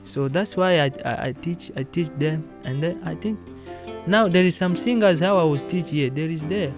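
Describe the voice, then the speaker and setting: A Congolese-accented man with a fairly high voice speaks in halting English, restarting and repeating words as he answers. Soft guitar music plays faintly underneath. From Democratic Republic of Congo. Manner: halting non-native English, repeats words, laughs often. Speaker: guest musician. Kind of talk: casual interview answers.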